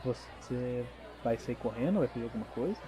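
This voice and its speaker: A Brazilian man working as game master, narrating a tabletop RPG audio drama with dramatic pauses and informal asides. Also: mid-range voice, animated, warm tone. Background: background music.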